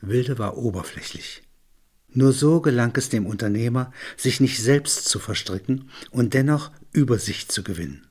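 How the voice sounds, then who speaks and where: A man reading literary prose as a narrator, with measured, deliberate phrasing. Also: mid-range voice, animated, a little mellow, breathy edge.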